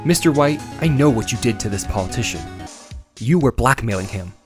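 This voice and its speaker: A man's voice: mid-range voice, lively intonation, fairly quick, over music, close to an everyday voice. A man playing an earnest, dogged young defense lawyer in a voiced game drama. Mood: determined confrontation. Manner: musing aloud, then pointed and accusatory.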